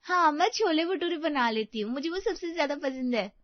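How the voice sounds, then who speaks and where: A woman's voice: high voice, lively intonation, normal speed, pitched above the performer's own voice. A woman voicing a childlike, oversensitive young newlywed bride in a whiny, pouting story voice. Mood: pouty. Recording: slightly dull, dry recording.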